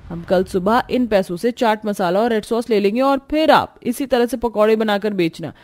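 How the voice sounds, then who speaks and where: A woman's voice: mid-range voice, moderate pace, a little mellow, lightly acted. A woman voicing a hopeful, persuasive young sister-in-law in a cartoon story, earnest and upbeat. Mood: eager, persuasive. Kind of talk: cartoon story character dialogue.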